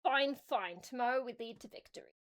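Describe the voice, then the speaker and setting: A woman's voice: on the high side, fairly quick, natural voice. A woman voicing a reluctant, superstitious male orc officer who speaks hesitantly. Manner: hesitant, grudging.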